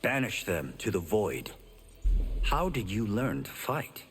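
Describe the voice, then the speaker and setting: A man's voice: fairly low pitch, big pitch swings, takes it slowly, fairly bright tone, faint music behind, heavily acted. A man voicing a modest, friendly young fighter in a video game, earnest and sincere. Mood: sincere, curious. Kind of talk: video game character acting. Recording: long hall reverb.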